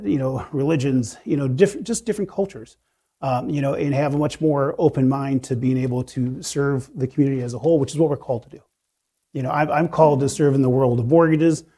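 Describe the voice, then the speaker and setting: A man's voice: medium pitch, a little mellow. A man in his fifties, a mortgage lender on a panel, sharing personal stories in an earnest, reflective way with lots of "you know" fillers. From USA.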